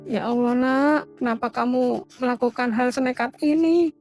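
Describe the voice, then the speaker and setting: Actress as anxious middle-aged mother, high voice, cartoon drama, music behind. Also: moderate pace, a little mellow, lightly acted. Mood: sorrowful, resigned. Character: worried, devoted mother of a grown son. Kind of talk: animated horror drama dubbing.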